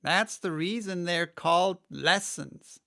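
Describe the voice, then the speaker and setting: A man's voice: slow, gravelly, pushed and bright, dry recording, clean studio sound, heavily acted. A man voicing a brusque, blustery Griffin from a storybook, with an emphatic and scornful manner. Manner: emphatic, scornful, high-pitched exclamations. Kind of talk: storybook character reading.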